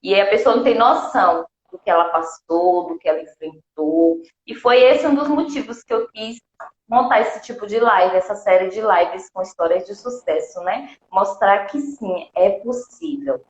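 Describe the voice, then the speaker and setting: A woman's voice: medium pitch, normal speed, reverberant, highs cut off. A woman hosting an interview live stream, welcoming her guests and encouraging them to speak.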